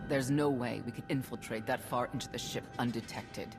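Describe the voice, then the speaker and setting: A woman's voice: rumbling low, a little fast. A woman playing a stern, guarded older veteran officer in a video game, speaking in a sober, skeptical way. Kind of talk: cinematic video game voice acting. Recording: music behind.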